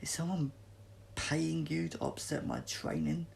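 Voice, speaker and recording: A man's voice: fairly high pitch, huge pitch swings, a little slow, creaky, very noisy, pitched above the performer's own voice, exaggerated voice. A man reading the part of a self-centred, doubting young male sprinter in a storybook, complaining.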